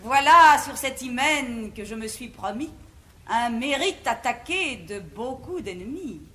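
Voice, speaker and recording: High-voiced, imperious matron. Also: female voice, pushed and bright, exaggerated voice. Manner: grand declamatory verse delivery, pompous. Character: imperious bourgeois mother, haughty learned lady of the house. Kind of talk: classical French stage comedy acting in verse. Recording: noisy vintage 1950s theatre recording.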